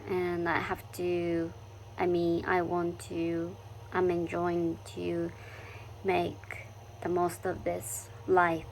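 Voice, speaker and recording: Slow, soft-spoken Japanese-accented woman. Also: fairly low pitch, monotone, a little hiss or hum, poor audio. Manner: thoughtful, searching for words with many fillers and restarts. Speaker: vlogger. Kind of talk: reflective personal vlog talk. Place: living in London.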